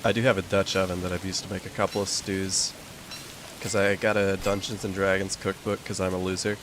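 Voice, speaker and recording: A young man with a slightly low voice chats loosely while he plays, rambling and dropping in plenty of filler words. A steady hiss sits clearly behind him. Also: fairly quick. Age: young adult. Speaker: gaming streamer. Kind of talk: casual chat while playing a game.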